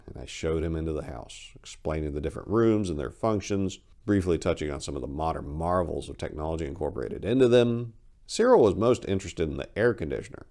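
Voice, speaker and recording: A man reading his own short story aloud as a dramatic, expressive narration of literary horror. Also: rumbling low, creaky.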